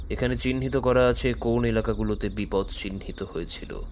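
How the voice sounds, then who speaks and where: An actor playing an enigmatic male investigator who speaks gravely and deliberately in riddles in an audio thriller. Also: fairly low pitch, lightly acted. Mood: grave, knowing. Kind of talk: Bengali audio thriller acting. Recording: voice over music bed.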